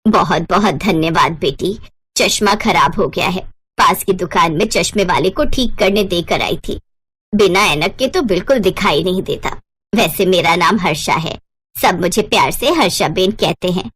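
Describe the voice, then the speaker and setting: A woman's voice: fairly low pitch, lively intonation, moderate pace, a bit bright, husky, pitched below the performer's own voice. Woman voicing a kindly, chatty old auntie. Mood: friendly, chatty. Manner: affectionate, reassuring, talkative. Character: kindly elderly Gujarati woman, chatty cooking teacher. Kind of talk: animated storybook character voice.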